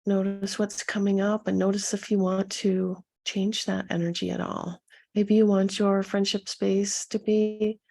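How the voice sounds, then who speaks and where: A woman leading a guided meditation as a coach, speaking softly and soothingly to her listeners with a gentle, husky delivery. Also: medium pitch, flat delivery. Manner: soothing, gentle, unhurried instruction with pauses.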